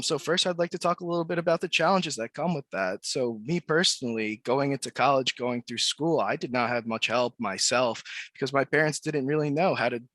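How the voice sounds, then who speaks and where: A man's voice: mid-range voice, dry recording. Man moderating a casual online panel. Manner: friendly, relaxed, repeats thanks. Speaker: webinar host.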